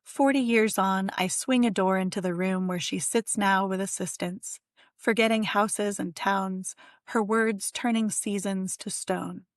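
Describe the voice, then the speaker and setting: A woman's voice: mid-range voice, steady tone, measured pace, dry recording, clean studio sound. A woman speaking as a guest poet and editor in a literary conversation. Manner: effusive, conversational. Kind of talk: casual literary conversation with poem reading.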